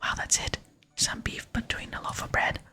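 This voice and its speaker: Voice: normal speed, sharp tone. A person voicing a polite, pleading and slightly cheeky video game adventurer in a whisper. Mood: eager, cheeky.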